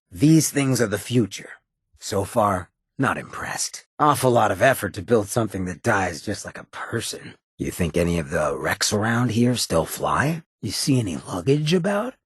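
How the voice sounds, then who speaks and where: A male actor playing a roguish, swaggering ghoul leader in a video game, with a gravelly rasp, an American accent and a cocky, streetwise drawl. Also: on the low side, no room sound, studio quality, exaggerated voice. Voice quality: raspy, gravelly. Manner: cocky, streetwise drawl with clipped slangy phrasing. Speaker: companion character in a video game. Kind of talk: video game character voice lines.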